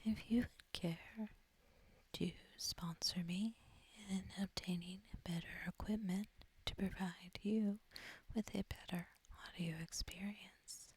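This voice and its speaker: A woman softly whispering a guided sleep hypnosis in a breathy, husky voice, gentle and soothing, with long deliberate pauses. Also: mid-range voice, fairly even intonation. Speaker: ASMR host guiding sleep hypnosis. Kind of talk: soft-spoken ASMR guided relaxation.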